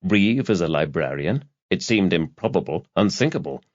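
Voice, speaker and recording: A man narrating a thriller audiobook from a script in a measured, dramatic storytelling manner. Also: low voice, expressive. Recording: dull lo-fi audio, very dry.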